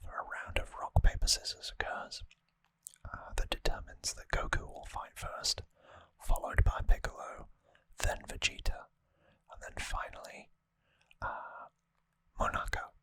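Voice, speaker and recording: An ASMR host speaking entirely in a breathy whisper close to the microphone, softly and informally explaining a story recap. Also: no room sound. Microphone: close microphone, dry sound.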